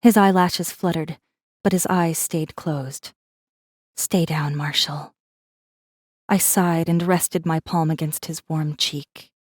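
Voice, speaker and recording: A guarded rebel messenger telling her own space fantasy story. Her voice sits a little low, with a wry, controlled and intimate delivery. The narration is scripted and dramatic, recorded very dry. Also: fairly even intonation, takes it slowly, dry recording. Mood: conflicted, tender. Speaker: audiobook narrator in first person.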